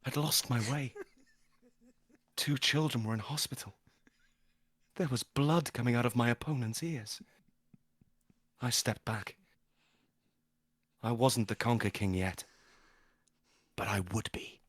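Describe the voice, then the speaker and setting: A man's voice: medium pitch, takes it slowly, long pauses, a bit bright, husky, no room sound, clean studio sound. A man playing a grandiose, menacing schoolboy with earnest self-importance, in a dramatic first-person audio drama monologue. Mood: quiet, chilling. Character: grandiose, menacing schoolboy obsessed with conker glory.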